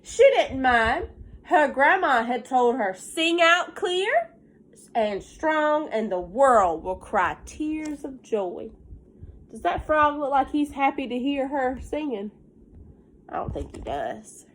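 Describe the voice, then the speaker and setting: A woman with a fairly high voice speaks as an elementary teacher to young children. Her pace is unhurried, and she sounds slightly stuffed up. She reads aloud and explains in simple words, often checking that the listeners follow. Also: expressive, low-quality recording. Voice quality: congested from pollen.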